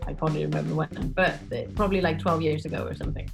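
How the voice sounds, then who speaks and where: A woman's voice: low-pitched, fairly even intonation, rapid-fire, gravelly, over music. A Swedish-raised woman in her forties, a podcast guest chatting casually about her life and laughing often as she tells stories. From Sweden. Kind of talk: casual interview chat.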